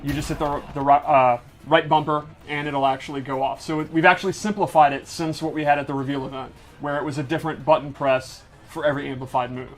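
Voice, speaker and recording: Male game developer, slightly high-pitched, keen and informal, talking through gameplay. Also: steady tone, fast, hardly any pauses. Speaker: game developer demonstrating a fighting game character. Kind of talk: casual explanatory gameplay commentary.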